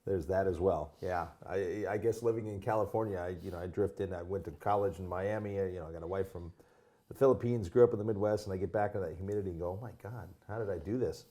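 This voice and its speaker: A man hosting a martial arts podcast, chatting easily and warmly with an old friend. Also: low-pitched. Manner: reads the intro slowly and evenly, then chats easily with a guest. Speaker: podcast host. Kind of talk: casual interview. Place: lives in California.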